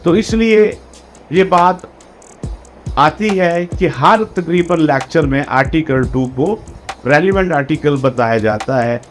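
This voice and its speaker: A man lecturing on law for exam students, explaining deliberately and spelling out key phrases word by word, with English legal terms mixed in. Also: a little high, lively intonation, faint music behind.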